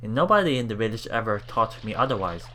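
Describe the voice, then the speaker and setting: A man hosting a let's play, reading game text aloud and adding casual, halting commentary with repeated words and exclamations. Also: on the low side, big pitch swings, sharp tone, slight background noise. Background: game music.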